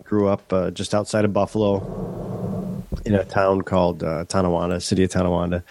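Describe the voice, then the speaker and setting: A man's voice: sits low, monotone, moderate pace, noisy background. A middle-aged man from Western New York, stuffed up from a head cold, chatting candidly as a podcast guest with many restarts. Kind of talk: casual interview chat.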